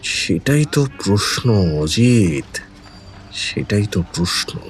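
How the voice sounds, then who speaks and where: A man playing a shrewd, calm detective in an audio drama, musing in a deliberate, wry manner. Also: lowish voice. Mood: pensive.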